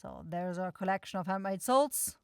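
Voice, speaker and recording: A woman's voice: medium pitch, normal speed, a little mellow, clear, resonant tone, no echo, close to an everyday voice. Woman voicing a grumpy, sarcastic demon shopkeeper, dry close sound. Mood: irritated, dismissive. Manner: blunt, irritable, exasperated, swearing. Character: grumpy, sarcastic demon goat-eyed shopkeeper sister, sharp-tongued. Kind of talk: scripted ASMR fantasy roleplay.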